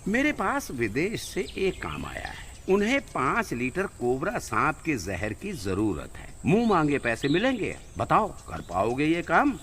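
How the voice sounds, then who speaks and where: A man's voice: on the high side, wildly swooping pitch, unhurried, hardly any pauses, fairly bright tone, a little hiss or hum, exaggerated voice. A man playing a wealthy, shady merchant offering illegal deals in a cartoon moral story. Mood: conspiratorial. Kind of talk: animated moral-story dialogue in Hindi.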